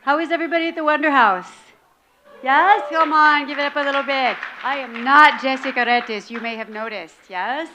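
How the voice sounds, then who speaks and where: A woman host works the room from a stage, upbeat and rallying the crowd before bringing on the next speaker. Her voice sits somewhat high, with faint room ambience behind it. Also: moderate pace, noisy background. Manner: upbeat, rallies the audience. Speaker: event host introducing a speaker. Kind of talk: lively stage introduction. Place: event stage before a live audience.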